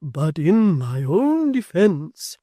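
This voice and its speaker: A woman's voice: low-pitched, sing-song, dry recording, studio quality, pitched above the performer's own voice, heavily acted. A woman doing a timid, tearful spinster. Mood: pleading. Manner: soft, faltering, slow and pleading. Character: timid tearful spinster pleading with her friend. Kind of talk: audiobook character voice.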